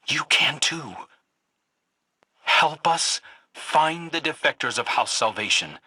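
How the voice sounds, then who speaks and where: An actor playing an earnest, remorseful guardian seeking redemption in a game, speaking persuasively with a husky, intense voice. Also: male voice, a little high, bright, forceful tone, dry recording, studio quality, full character voice. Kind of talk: dramatic game dialogue.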